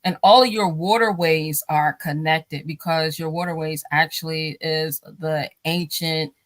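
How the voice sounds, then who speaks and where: A woman with a lowish voice talks in a loose, rambling way, circling back and restarting her sentences with plenty of fillers. She laughs easily as she goes. The sound is dry and close, with no room around it. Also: fairly even intonation, a little slow, dry recording. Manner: laughs often while talking. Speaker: guest. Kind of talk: casual talk show conversation.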